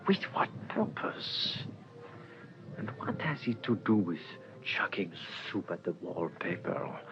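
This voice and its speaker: A man playing an urgent, puzzled police inspector in a radio drama, commanding and often speaking in hushed tones. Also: deep voice. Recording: muffled, noisy radio drama recording.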